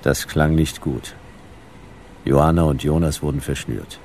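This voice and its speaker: Radio play narrator: bass-deep, dry deadpan, short fragments, light background noise. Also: male voice, unhurried. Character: dry deadpan narrator of a sci-fi detective story.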